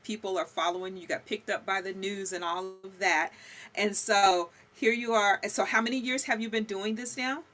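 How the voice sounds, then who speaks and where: Bright-voiced woman hosting a video call. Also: mid-range voice. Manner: enthusiastic, emphatic, many fillers. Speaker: podcast host. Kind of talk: conversational interview. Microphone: video call webcam.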